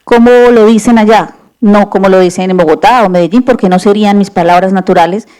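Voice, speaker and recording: Colombian woman from Bucaramanga, mellow. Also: medium pitch, fairly quick. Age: adult with a partner. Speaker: panel writer. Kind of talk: literary panel discussion. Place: library event hall.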